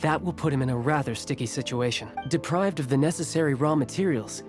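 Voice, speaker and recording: A man voicing a well-spoken young gentleman schemer in a game. He is measured and formal, at a middling pitch, with music underneath. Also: lightly acted. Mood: composed, planning. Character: composed, well-spoken young male strategist of a merchant guild. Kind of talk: video game voice acting.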